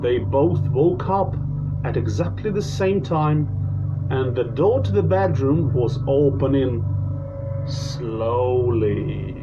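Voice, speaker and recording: A man telling spooky bedtime ghost stories in a halting, drawn-out way, with asides to his listeners. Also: mid-range voice, expressive. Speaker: storyteller, host of a bedtime ghost-story show. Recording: dull lo-fi recording with music behind. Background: prominent background music.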